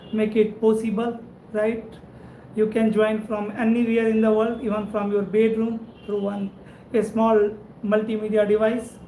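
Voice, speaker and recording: A man with an Indian English accent chats on a live stream in a steady, flat tone, warmly thanking viewers one by one. His voice carries a roomy echo, and faint background noise sits under it. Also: high-pitched. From India (Madhubani, Bihar). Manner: friendly, repetitive thanks, frequent "right?" tag. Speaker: networking instructor and channel host. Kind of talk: live-stream chat reading viewer comments.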